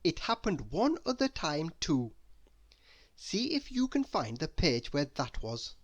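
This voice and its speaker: Storybook reader for kids, slow n very expressive, bit creaky, dry close sound. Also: mid-range voice, no echo, dull audio. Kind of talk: expressive children's read-aloud narration.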